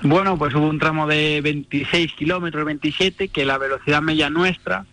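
A man's voice: fairly high pitch, steady tone, fairly quick, pushed and bright. A young man from Spain, a rally driver, talking casually over the phone with fillers, repeats and easy laughs. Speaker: rally driver, interview guest. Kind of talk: phone interview. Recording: dry phone line.

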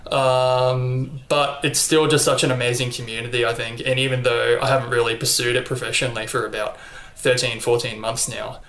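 A young Australian man talking casually in an interview, relaxed and chatty with frequent ums and likes. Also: mid-range voice, a little fast. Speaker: interview guest, athlete. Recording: echoey room.

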